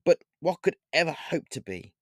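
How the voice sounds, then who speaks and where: A man's voice: fairly high pitch, big pitch swings, normal speed, no echo, clean studio sound, voice pushed up. A man voicing a smug, menacing male vampire king who talks grandly and savors each word, in a storybook reading. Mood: savoring.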